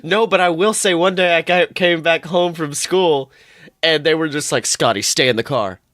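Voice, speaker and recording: A man co-hosting a podcast in casual comedic banter, telling anecdotes in an animated, exclamatory, sweary way. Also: on the high side, moderate pace, no echo, clean studio sound. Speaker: podcast co-host.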